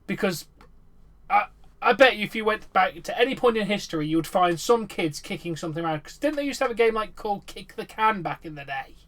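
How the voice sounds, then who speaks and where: A man hosting a podcast, making his points in a calm, matter-of-fact way. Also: high-pitched, fast talker. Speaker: podcast host. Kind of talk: casual chat.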